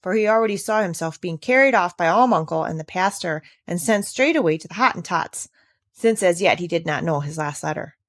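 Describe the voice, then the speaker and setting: A woman's voice: fairly low pitch, expressive, fairly quick, no room sound, clean studio sound. A woman reading a children's classic aloud as a scripted storybook narrator.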